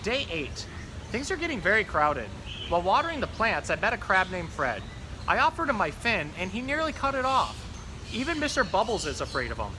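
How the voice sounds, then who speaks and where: A man's voice: high-pitched, sing-song, fairly quick. Man reading as a fussy storybook goldfish, noisy background. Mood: wary, disgusted. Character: exasperated, fussy pet goldfish narrating his diary. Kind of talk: children's storybook read-aloud.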